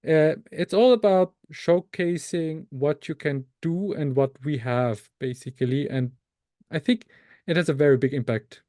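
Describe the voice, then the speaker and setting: A man from Austria whose first language is Tagalog, answering interview questions slowly, with many fillers and self-corrections. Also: on the high side, warm tone, no room sound, clean studio sound. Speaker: researcher and author, interview guest.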